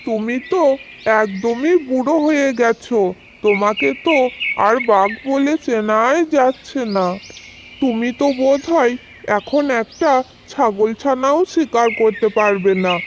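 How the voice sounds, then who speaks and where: A woman's voice: on the high side, noisy background, pitched below the performer's own voice, natural voice. A woman voicing a sly, weary old fox in a children's cartoon folk tale, wheedling, mocking and plotting aloud.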